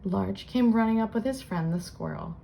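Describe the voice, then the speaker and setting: A woman reading a children's storybook aloud in an expressive storytelling style, as host. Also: medium pitch, normal speed, vocal fry, slight background noise. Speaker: children's book reader and channel host.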